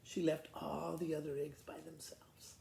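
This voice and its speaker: Creaky-voiced man storytelling, roomy echo. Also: a little high, flat delivery, unhurried, darkish tone. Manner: simple, conversational retelling addressed to children. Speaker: school principal reading to pupils. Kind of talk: informal children's storytelling with picture commentary. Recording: roomy echo, faint background noise.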